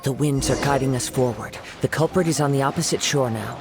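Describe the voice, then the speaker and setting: An actor playing a calm young male wandering swordsman in a video game, measured and poised. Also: medium pitch, music in the background, close to an everyday voice. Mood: composed.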